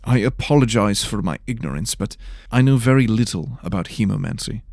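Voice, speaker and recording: A man's voice: on the low side, expressive, a little fast, gravelly, a little mellow, no room sound. A man voicing a shaky, apologetic young ward of a lord in a fantasy audio drama, hesitant and timid. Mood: curious, uneasy.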